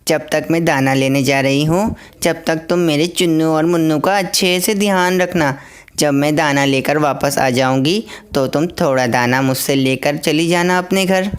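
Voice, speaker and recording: A woman voicing a brisk aunt crow in a cartoon story, giving instructions in a businesslike way. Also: sits low, clear, resonant tone, close to an everyday voice.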